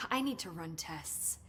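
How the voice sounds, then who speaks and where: An actress playing an analytical research scientist in a video game, measured, clinical and curious. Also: female voice, lowish voice, expressive, pushed and bright, slight background noise, exaggerated voice. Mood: pensive, intent. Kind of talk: video game cutscene acting.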